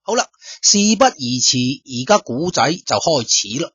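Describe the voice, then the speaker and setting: A man's voice: medium pitch, big pitch swings, unhurried, fairly bright tone, husky, dry recording, lo-fi sound. A man with a Cantonese accent narrating a story in colloquial radio-drama style, speaking directly to his listeners. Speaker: storyteller, host.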